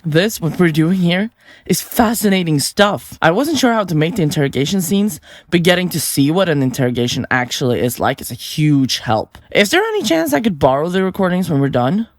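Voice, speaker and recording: A woman's voice: low-pitched, lively intonation, brisk, no echo, clean studio sound. A woman playing a chirpy, self-absorbed podcaster and remorseless killer in an audio drama, chatty and flippant. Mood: gleeful, self-assured. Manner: blithe, chatty, flippant, theatrical. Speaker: suspect under interrogation.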